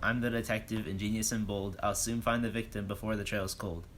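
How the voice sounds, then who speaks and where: A man's voice: fairly low pitch, little pitch movement, fairly quick, a bit bright. Man playing a pompous rhyming police detective. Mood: pompous, businesslike. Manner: declamatory, questioning, speaks in rhyme. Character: pompous, bold, self-important male police detective. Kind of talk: rhyming comic audio drama.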